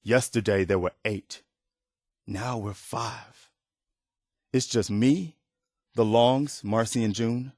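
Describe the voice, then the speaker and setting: A man's voice: mid-range voice, slow pace, long pauses, darkish tone, no echo, clean studio sound. A man playing an earnest, weary militia leader in a video game, speaking in a sincere, plain-spoken, conversational way. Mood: weary, somber. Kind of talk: video game dialogue acting.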